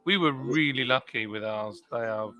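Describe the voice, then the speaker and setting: A man's voice: medium pitch, normal speed, bright, forceful tone. A man hosting a casual live stream, chatting and reading out viewer comments with plenty of fillers. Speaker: livestream host. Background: faint country music.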